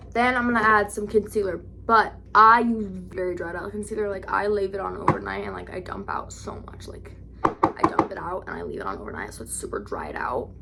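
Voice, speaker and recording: A young woman with a raspy voice, chatting casually through a makeup tutorial, rambling and correcting herself as she goes. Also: medium pitch, heavy background noise. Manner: rambling, self-correcting, lots of "like". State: raspy today. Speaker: vlogger.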